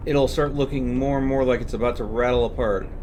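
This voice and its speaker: A man's voice: mid-range voice, fairly even intonation, brisk, darkish tone, slight background noise. A man streaming a video game, explaining what happens on screen as he plays. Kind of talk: live gameplay commentary, explanatory.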